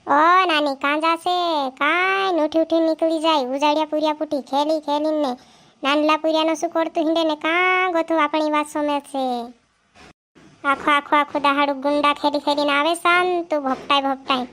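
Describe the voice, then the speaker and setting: A woman's voice: high-pitched, fairly even intonation, moderate pace, fairly bright tone. A woman playing a scolding village mother in a cartoon comedy, nagging and chiding her son.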